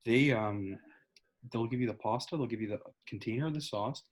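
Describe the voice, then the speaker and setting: A young man, co-hosting a casual podcast chat, swearing often and excitably talking over his co-host. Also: mid-range voice, brisk.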